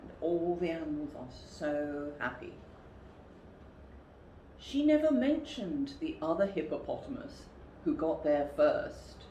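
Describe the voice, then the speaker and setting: Slow, very expressive woman storyteller. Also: low-pitched. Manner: deliberate, dramatic emphasis. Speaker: storyteller, teacher. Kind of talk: expressive picture-book reading for children. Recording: roomy echo, faint background noise.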